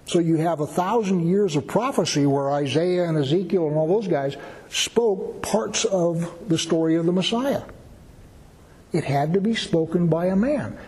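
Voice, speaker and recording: A man with a fairly high but mellow voice teaches through a Bible passage in a relaxed, conversational way, using plain everyday examples. His voice rings with a long reverb, as in a large hall.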